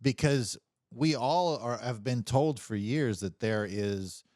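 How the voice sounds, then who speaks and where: A man's voice: mid-range voice, takes it slowly, darkish tone, dry recording, clean studio sound. A man chatting casually about politics on a livestream, emphatic and exasperated, swearing often.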